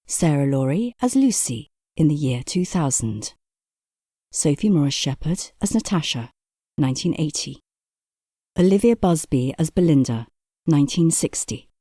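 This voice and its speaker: A woman's voice: deep voice, mellow, no echo, clean studio sound. A woman announcer reading scripted credits for an audio drama.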